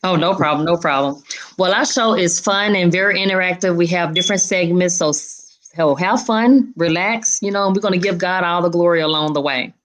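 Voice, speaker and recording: A woman's voice: fairly low pitch, normal speed, rough recording. A woman hosting an upbeat interview show in an informal, chatty way, often repeating short affirmations. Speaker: show host.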